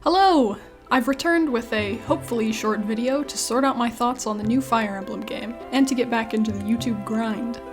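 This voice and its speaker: A woman's voice: fairly high pitch, normal speed, faint music behind. A woman hosting a scripted video commentary on games, with wry, self-deprecating asides. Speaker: video essayist, YouTube host.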